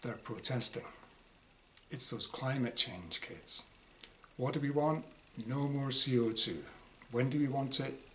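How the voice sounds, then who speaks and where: Male author-reader, mid-pitched and bright, wry exasperated diary monologue. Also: measured pace, pauses often. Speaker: author reading own short story. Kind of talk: dramatised reading of a first-person diary story. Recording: clear background noise.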